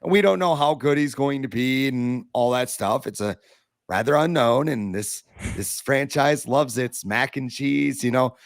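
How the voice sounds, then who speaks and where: Hoarse, raspy man venting about football. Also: medium pitch, unhurried, no echo, studio quality. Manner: blunt, animated, self-deprecating. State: hoarse from illness. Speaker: podcast co-host. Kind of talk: casual sports podcast talk.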